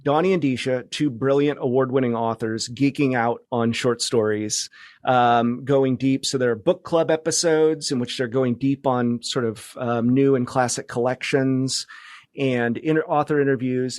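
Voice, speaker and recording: Casual man explaining, mid-pitched, dry sound. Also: steady tone, moderate pace, darkish tone, no echo. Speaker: podcast guest, producer. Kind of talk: casual interview talk.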